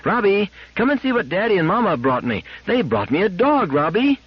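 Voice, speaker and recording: A man's voice: sits high, big pitch swings, a little fast, slightly rough, pitched above the performer's own voice, heavily acted. A man voicing an eager, trusting little girl full of exclamations and pleading, in an audiobook story. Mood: excited, eager. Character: excited, trusting little girl, bubbly then worried. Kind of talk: audiobook character acting. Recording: dull lo-fi radio-like audio.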